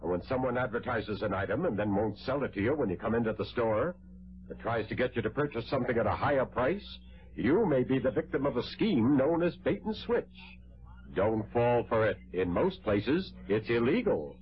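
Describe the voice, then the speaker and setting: An actor playing a gallant, reassuring consumer champion in a declamatory old radio advert. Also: male voice, mid-range voice, lively intonation, moderate pace, lightly acted. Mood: authoritative. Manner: declamatory, authoritative. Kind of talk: vintage radio public service ad. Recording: dull old radio, faint music.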